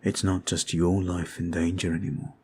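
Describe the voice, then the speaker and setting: A man voicing a devoted young male vampire in an ASMR roleplay audio. He speaks in an earnest, formal, quiet way. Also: deep voice, level tone, moderate pace, slight background noise, lightly acted.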